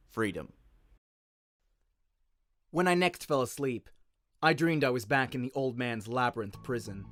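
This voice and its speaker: A man narrating a story in a dramatic, scripted audiobook style. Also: mid-range voice.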